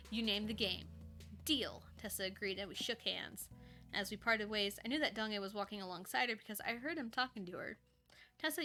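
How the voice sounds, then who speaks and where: A woman's voice: medium pitch, pushed and bright. A woman casually reading a first-person story aloud on a live stream, informally, with ad-libbed asides and lightly voiced dialogue.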